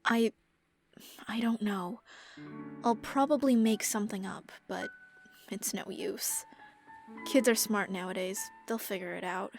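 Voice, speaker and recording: Widowed caregiver, female, played by an actress, game drama. Gentle, subdued, resigned, middle register, light music underneath. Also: medium pitch, measured pace, pauses often, close to an everyday voice. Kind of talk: game dub voice acting.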